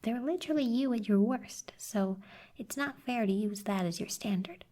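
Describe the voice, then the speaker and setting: A woman voicing a teasing but caring young cactus girl in an ASMR roleplay, soft and slightly husky, blunt yet encouraging. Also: a little high, normal speed, natural voice. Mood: reassuring.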